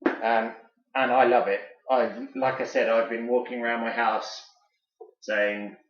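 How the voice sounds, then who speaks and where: A British man with a mid-pitched voice hosts a casual product review. He talks in a chatty, informal, enthusiastic fan's way, without a script. The room is clearly echoey and the sound a little dull. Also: level tone, unhurried. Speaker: toy reviewer and video host.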